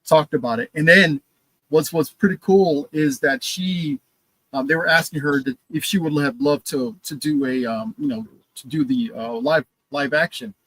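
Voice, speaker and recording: A young man co-hosting a podcast in casual chat, enthusiastic and halting, with many fillers while reading news items. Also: a little high, a bit bright, no echo, poor audio. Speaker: podcast co-host.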